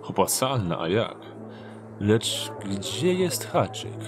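Casting: male actor as sly rogue thief, low drawling voice, sceptical, fantasy audio drama over music. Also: big pitch swings, takes it slowly, exaggerated voice. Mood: scornful, sceptical.

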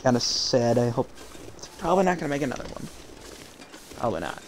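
A man casually commenting while he plays a game, in a calm, fairly monotone voice that drifts into rambling asides. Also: mid-range voice, quick pace, slight background noise. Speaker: gameplay streamer.

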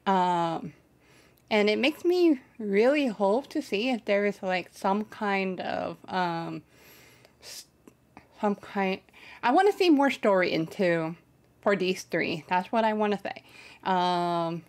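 Slow, halting young woman chatting casually. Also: fairly low pitch. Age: young adult. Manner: halting, searching for words. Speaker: reaction video host. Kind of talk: casual reaction commentary. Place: small bedroom setup, indoors. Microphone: desk microphone on boom arm.

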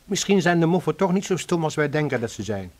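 A man's voice: on the high side, big pitch swings, quick pace. An actor with a Dutch accent playing a wry, talkative man who reassures his friends in a radio drama. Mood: wry, reassuring.

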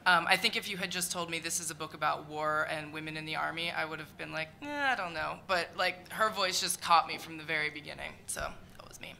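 An earnest woman editor on a panel, with a low, gravelly, bright voice. She speaks in a large conference hall with faint noise behind her. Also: a little fast, hardly any pauses.

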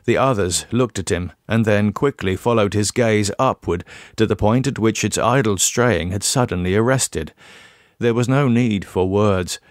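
A man reads a scripted horror tale. His voice is on the low side, and his delivery is measured and dramatic. The sound is close and dry, with little room. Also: no echo. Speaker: audiobook narrator.

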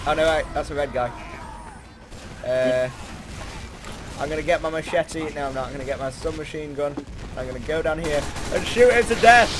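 A young man giving casual, excitable, rambling and self-deprecating commentary while playing a video game. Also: fairly high pitch. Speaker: gaming video host. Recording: noisy game audio behind the voice.

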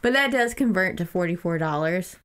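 A woman's voice: fairly low pitch, expressive, a little fast, a bit bright, clear, resonant tone. A woman co-hosting a podcast, casually recapping a book in an informal, amused way. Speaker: podcast co-host.

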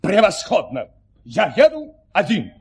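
An actor playing a pompous, excitable count who declaims indignantly in an audio play. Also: male voice, high voice, a little slow, full character voice. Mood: indignant, haughty. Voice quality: husky.

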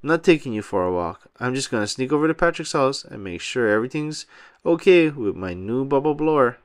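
Man voicing an excitable, animated cartoon sponge boy. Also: mid-range voice, fairly quick, dry recording, clean studio sound, close to an everyday voice. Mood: earnest. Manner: eager, earnest, fretful.

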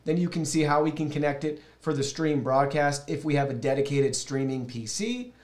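A man's voice: fairly high pitch, moderate pace, darkish tone. A man in his thirties giving an upbeat, casual product walkthrough, explaining directly to viewers, recorded on a shotgun studio microphone. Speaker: product reviewer and host. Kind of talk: casual product overview and software tutorial. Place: small indoor studio. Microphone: shotgun studio microphone through an audio mixer.

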